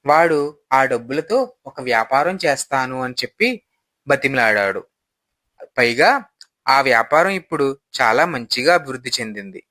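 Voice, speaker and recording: Bright-voiced regretful husband played by a man. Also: medium pitch, lively intonation, normal speed, no echo. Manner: earnest, explaining. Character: regretful, troubled husband, a too-trusting friend.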